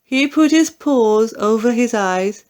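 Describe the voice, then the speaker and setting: A woman narrating a children's storybook in an expressive, deliberate way. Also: fairly high pitch. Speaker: audiobook narrator.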